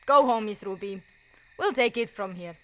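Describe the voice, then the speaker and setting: A woman playing a grave doctor in a radio drama, measured and authoritative, over night ambience. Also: mid-range voice, expressive, slight background noise, close to an everyday voice.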